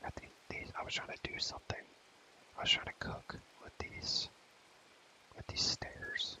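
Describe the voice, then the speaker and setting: A young man whispering a casual, rambling ASMR gaming commentary, often repeating phrases and using relaxed slang. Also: normal speed, rough recording. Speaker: ASMR video creator.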